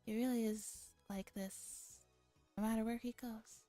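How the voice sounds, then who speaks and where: A woman's voice: on the high side, fairly even intonation, a little slow, mellow, no room sound, faint music behind, close to an everyday voice. A woman voicing a scornful, skeptical internet arguer from a visual novel, sarcastic and dismissive. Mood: scornful disbelief.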